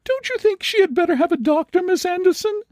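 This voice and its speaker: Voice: very high voice, lively intonation, a little fast, darkish tone, no room sound, clean studio sound, pitched above the performer's own voice. A reader doing a frail, fussing old woman. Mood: soothing. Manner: soothing, tottery, rural dialect. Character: frail, worn-out older woman, gently fussing.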